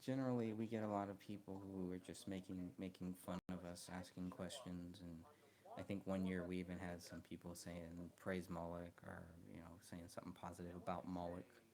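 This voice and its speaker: Soft-spoken man with a very low voice. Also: level tone, fairly quick, crowd noise. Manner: soft-spoken, hesitant, many ums and restarts. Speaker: live stream host. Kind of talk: casual live stream chat. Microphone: close mic.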